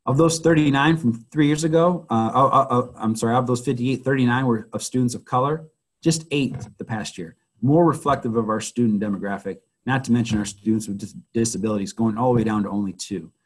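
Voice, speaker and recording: A man presenting a school webinar, hoarse and losing his voice, who often clears his throat and speaks haltingly with many fillers. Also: medium pitch. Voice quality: husky.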